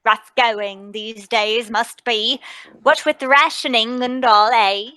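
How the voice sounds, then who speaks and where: A woman playing a young male bartender with a newsboy manner in a radio play table read, folksy and deliberate. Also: on the high side, steady tone, sharp tone, no echo. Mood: matter-of-fact.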